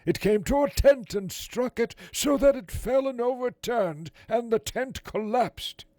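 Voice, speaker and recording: A man's voice: extremely high pitch, unhurried, dark tone, no echo, voice pushed up. A man voicing an astonished ancient soldier, telling his tale with wonder in a scripted Bible drama. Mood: amazed. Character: astonished Midianite soldier recounting a strange dream.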